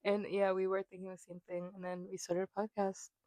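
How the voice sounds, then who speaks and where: A woman's voice: mid-range voice, level tone, brisk, mellow, no room sound. A woman in her early twenties, raised in New York, co-hosting a podcast in a chatty, giggly way with many fillers. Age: 20s. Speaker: podcast co-host. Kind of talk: casual chat. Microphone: small desk mic.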